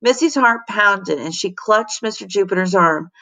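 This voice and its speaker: A woman's voice: medium pitch, lively intonation, moderate pace, bright, forceful tone. A woman reading a children's story expressively, as a teacher does for students. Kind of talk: expressive storybook read-aloud.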